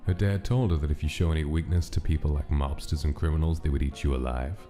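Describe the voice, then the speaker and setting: A man's voice: extremely low pitch, fairly even intonation, fast talker. A man reading scripted story narration as the narrator of a drama. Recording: soft music under narration. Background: background music.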